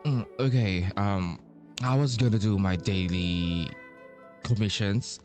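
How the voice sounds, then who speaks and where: Slow, low-voiced man streaming a game. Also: a little mellow. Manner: hesitant, muttering, swearing. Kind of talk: casual gaming commentary. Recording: game music behind voice. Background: game music.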